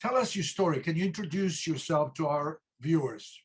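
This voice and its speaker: A man hosting an interview, introducing his guest in a formal, deliberate way. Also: a little high, animated, husky. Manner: formal, listing praise deliberately. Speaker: host.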